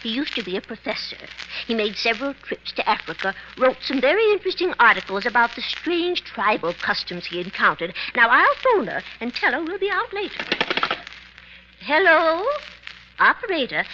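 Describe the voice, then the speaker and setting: Fussy, lively old lady. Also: high-pitched, heavily acted. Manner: prim, fretful, chatty. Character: fussy, cautious seventy-year-old lady, retired schoolteacher. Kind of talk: 1950s radio acting. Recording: dull, hissy old radio recording.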